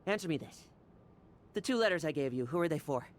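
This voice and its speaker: A man's voice: on the high side, expressive, fast talker, slight background noise, lightly acted. A man voicing a stern, suspicious young guardian who speaks bluntly and challenges others, in a video game.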